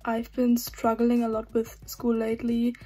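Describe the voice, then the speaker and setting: A young female student from Germany, speaking earnestly and softly into her phone about a hard time. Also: a little high, monotone, moderate pace. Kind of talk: casual video message.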